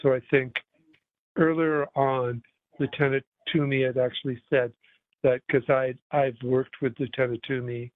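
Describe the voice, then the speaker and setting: A man's voice: medium pitch, no room sound. A man speaking as a complainant at a public meeting, hesitant and searching for words. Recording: tinny phone line.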